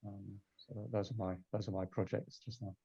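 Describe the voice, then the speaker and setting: A man speaking off the cuff as a panel guest, thoughtful and halting as he rephrases himself. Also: on the low side, little pitch movement, mellow, breathy edge, no room sound, lo-fi sound. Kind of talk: unscripted panel talk.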